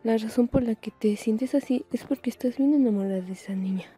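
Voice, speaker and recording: A woman voicing a blunt, sarcastic older witch and guardian in a fan comic dub, stern and husky. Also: fairly high pitch, a little fast, dark tone, faint music behind. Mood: impatient.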